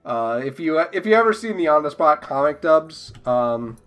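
A man's voice: fairly high pitch, animated, normal speed. A young man chatting casually as a podcast guest, joking and giggly as he draws. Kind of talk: casual chat while drawing.

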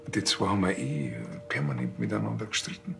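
Deep-voiced, stubborn Bavarian cop. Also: male voice, huge pitch swings, quick pace, vocal fry, a bit bright, heavily acted. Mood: suspicious. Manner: colloquial, contrary. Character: stubborn, grumbling male small-town police officer. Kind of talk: TV crime comedy acting. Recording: noisy ambience behind dialogue.

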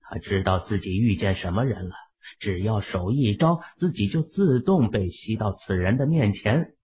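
A man's voice: lowish voice, lively intonation, normal speed, breathy edge. A man narrating a novel from a script in a dramatic storytelling style, with narrow, muffled sound. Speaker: audiobook narrator.

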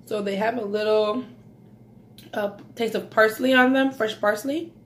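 A woman's voice: a little high, steady tone, unhurried, fairly bright tone. A woman in her thirties from Belize with a slight Belizean accent, chatting casually in an eating vlog at home, savoring food with frequent mm's. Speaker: mukbang vlogger. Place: small indoor room at home.

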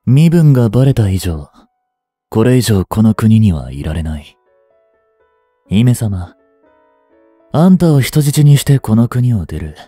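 A young man in his twenties playing a treacherous, smooth-tongued captive prince and spy in a Japanese audio drama, with courteous, theatrical speech that can turn mocking. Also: mid-range voice, dark tone, no echo. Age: 20s. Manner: slow, theatrical, courteous speech slipping into taunts. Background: background music.

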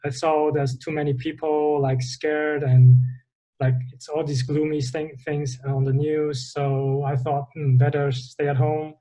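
A man's voice: a little high, flat delivery, measured pace, warm tone, poor audio. A young man from the Netherlands, a guest on a video call from a bedroom, talking hesitantly and searching for words. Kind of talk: casual interview. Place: bedroom, indoors.

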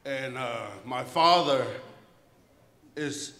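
A man's voice: mid-range voice, very slow. A man in his thirties with a Tongan accent, giving a joking personal eulogy on a handheld mic in a large banquet hall. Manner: warm joking storyteller, laughs between lines. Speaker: eulogy speaker. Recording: echoey banquet hall with long reverb.

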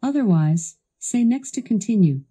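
A woman's voice assistant delivering scripted product demo narration in a polished, instructional, clearly enunciated way. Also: medium pitch, lively intonation, moderate pace, warm tone.

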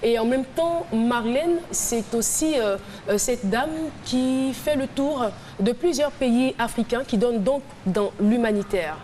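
A woman hosting a TV talk show, announcing guests and filling pauses with many hesitations. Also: fairly high pitch. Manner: hesitant with many fillers, announcing loudly to the crowd. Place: large TV studio with live audience. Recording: loud studio crowd and noise behind.

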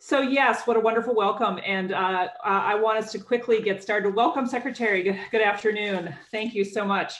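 A woman acting as host and opening a virtual summit over a video call, brisk and upbeat. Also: medium pitch, a bit bright. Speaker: state department director, host. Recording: roomy video call audio.

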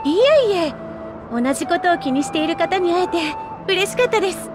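Super high bubbly young researcher girl in a game, eager polite chatty, music loud behind. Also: a little fast. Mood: cheerful, helpful. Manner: bubbly, earnest, polite, expressive. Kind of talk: Japanese anime-like video game acting. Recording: studio voice over loud music.

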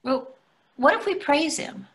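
A woman's voice: high voice, animated, unhurried, a bit bright, full character voice. A woman in her sixties playing a placating, dryly chiding wife in a readers theatre play. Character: placating, gently needling middle-aged wife. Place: plain room indoors on a video call.

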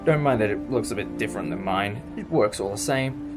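A man's voice: fairly low pitch, animated, brisk, music in the background, close to an everyday voice. A man acting in a fan audio drama as a thoughtful, reassuring alien time traveller who explains things in a musing, conversational way. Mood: breezy, fond.

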